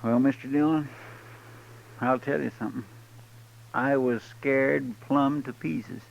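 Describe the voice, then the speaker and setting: An actor playing a folksy, loyal deputy with a rural American accent and deferential drawl in a 1950s radio western, on a muffled old recording. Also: male voice, medium pitch, fairly even intonation, takes it slowly, creaky. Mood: earnest, concerned.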